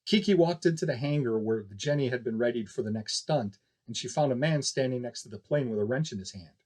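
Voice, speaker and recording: A man's voice: medium pitch, fairly quick, darkish tone. A man reading scripted fiction aloud as a narrator.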